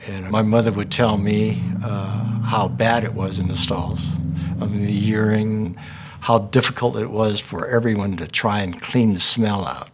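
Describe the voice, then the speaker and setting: A man's voice: fairly low pitch, fairly even intonation, measured pace, noisy background. An older man recalling his childhood in a plain, matter-of-fact way. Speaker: interviewee.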